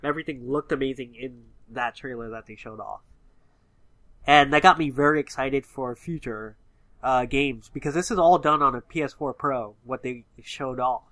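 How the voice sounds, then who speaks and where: A man's voice: medium pitch, normal speed, fairly bright tone, dry recording. A man with a slightly nasal, stuffy-nosed voice, chatting casually about video games as a podcast co-host, rambling with lots of fillers. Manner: rambling, filler-heavy, enthusiastic. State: stuffy nose. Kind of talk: casual gaming chat.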